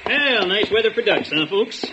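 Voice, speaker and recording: A chatty, jovial diner counterman, folksy and joking, with a high, bouncy voice. The dull old radio recording carries a clear hiss. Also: expressive, moderate pace. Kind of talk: 1940s radio acting.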